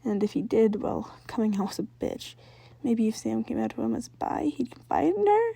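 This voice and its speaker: A woman reads fiction aloud in a relaxed, mellow voice. Her scripted narration has the small stumbles and rereads of an amateur. The sound is very dry, with hardly any room to it. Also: a little high, moderate pace, vocal fry, slightly rough, no echo. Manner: stumbles over words and rereads them. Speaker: amateur fan-fiction reader.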